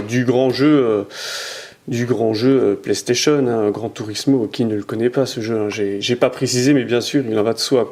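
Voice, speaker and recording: A man's voice: mid-range voice, darkish tone, slight background noise. Hesitant man showing off toy cars. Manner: hesitant, many "euh" fillers and repeated words; handles and turns the cars toward the camera as he talks. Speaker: toy car collector reviewing his collection. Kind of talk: casual unboxing commentary. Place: at home. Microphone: camera mic.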